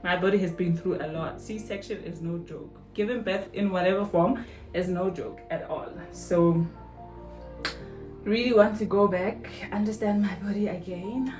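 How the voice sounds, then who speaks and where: A woman's voice: mid-range voice, unhurried, darkish tone. A woman in her thirties chatting casually to her viewers while getting ready in her bathroom, calling them "guys" and dropping slangy asides. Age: 30s. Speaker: vlogger. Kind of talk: casual vlog chat. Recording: echoey bathroom, faint music behind.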